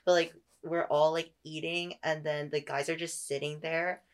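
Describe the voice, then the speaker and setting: Lower-voiced young woman, slangy and wry. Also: level tone, normal speed, clear, resonant tone. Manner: hedging, slangy, wryly playful. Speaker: podcast guest, staff member. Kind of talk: casual personal chat.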